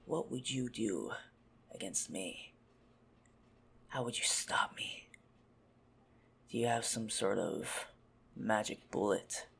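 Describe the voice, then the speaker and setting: Man acting out a slow, gravelly, teasing vampire. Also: lowish voice, a bit bright, heavily acted. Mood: mocking, teasing. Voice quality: husky, gravelly, breathy. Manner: mocking, slow, condescending, often dropping to a whisper. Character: teasing, menacing, possessive male vampire toying with prey. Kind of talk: ASMR roleplay.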